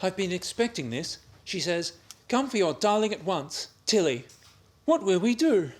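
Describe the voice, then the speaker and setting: An actor playing an earnest, reassuring young husband, in 1930s radio-play style. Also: male voice, sits high, big pitch swings, normal speed.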